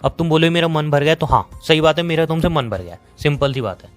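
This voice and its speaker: A man's voice: on the high side, animated, faint music behind, close to an everyday voice. A man with an Indian accent playing a sarcastic, dismissive young boyfriend in a phone-call romance drama. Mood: cold, dismissive. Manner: sarcastic, dismissive, rapid-fire. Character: bitter, sarcastic young boyfriend fed up with his girlfriend.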